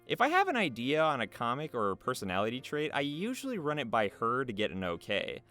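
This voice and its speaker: A man's voice: medium pitch, wildly swooping pitch, fast, bright, forceful tone. A man reading out interview questions and answers in a casual, jokey, playful way. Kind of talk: casual scripted interview narration. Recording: music behind the voice. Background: video game music.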